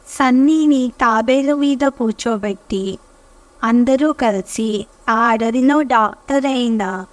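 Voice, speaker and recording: A woman narrating a scripted children's story as storyteller, with measured, careful phrasing. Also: sits high, no echo, far from the mic.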